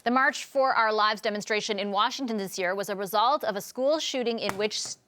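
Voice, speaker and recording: A woman hosting a year-end news quiz in a TV studio, reading questions crisply with light laughs. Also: medium pitch, brisk, a bit bright, a little hiss or hum. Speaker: quiz show host. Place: TV studio with a panel.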